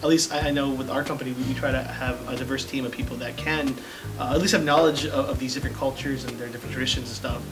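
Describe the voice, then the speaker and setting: Casting: male host, casual and halting, music bed clearly behind him. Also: mid-range voice, steady tone. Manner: hesitant, many fillers and restarts. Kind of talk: casual chat.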